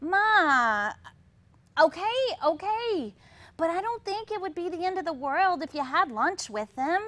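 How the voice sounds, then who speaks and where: A very high, bright voice with lively swings gives us a doubtful, easily flustered housewife played by an actress. She questions everything incredulously, in the style of a comic stage reading. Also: a little slow, no echo, full character voice. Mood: reassuring. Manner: incredulous, short questioning reactions. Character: doubtful, easily flustered housewife Betty, sister and amateur hairdresser.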